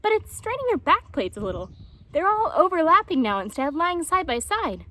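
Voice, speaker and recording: A woman's voice: extremely high pitch, sing-song, a little fast, pushed and bright, no room sound, voice pushed up, exaggerated voice. A woman voicing a chatty, eager male hedgehog in a storybook, fussy, polite and pleased with himself. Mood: encouraging, fussy.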